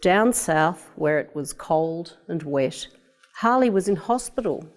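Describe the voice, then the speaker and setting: Animated Australian woman reading a children's story. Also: fairly low pitch, slow pace. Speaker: storybook reader. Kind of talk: scripted children's story narration.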